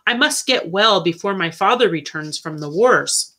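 A woman's voice: on the low side, expressive, moderate pace, sharp tone. A woman voicing a proud, earnest young medieval boy in a storybook, speaking emphatically.

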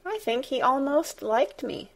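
Woman playing a frank, higher-voiced little girl. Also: lively intonation, measured pace. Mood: wondering. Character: determined, frank, curious young girl.

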